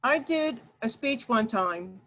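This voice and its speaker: Loud, emphatic woman leading a workshop. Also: on the high side, lively intonation, takes it slowly, slight background noise. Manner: loud, emphatic, talks to audience directly. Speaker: workshop presenter. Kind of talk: online workshop presentation. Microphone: video call.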